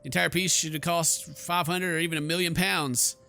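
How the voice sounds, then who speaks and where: A man's voice: a little high, steady tone, brisk, creaky, bright, forceful tone, faint music behind. A man hosting a gaming video, jokey and exclamatory, mixing casual commentary and muttered asides with reading text aloud.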